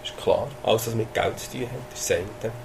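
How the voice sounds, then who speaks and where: Low gravelly Swiss German preacher. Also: male voice, a little fast, a little hiss or hum. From Switzerland. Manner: conversational preaching with hesitations and rising emphasis. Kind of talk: sermon.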